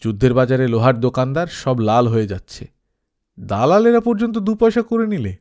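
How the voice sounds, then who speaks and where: A man playing a chatty, encouraging uncle in a story, with an animated, familiar manner. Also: medium pitch, brisk, lightly acted.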